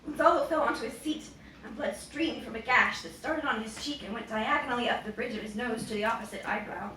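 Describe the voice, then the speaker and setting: A young woman, an author giving an expressive reading of her novel at a bookstore event. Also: on the high side, brisk. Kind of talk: expressive public book reading. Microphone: boom-stand mic at lectern, distant camera. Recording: roomy, distant, noisy bookstore recording.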